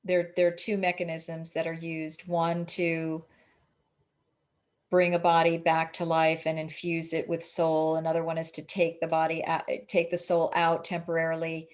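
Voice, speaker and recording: A woman's voice: deep voice, monotone, moderate pace. A woman speaking as an interviewed author in a casual spiritual conversation, with an emphatic, declarative way of talking.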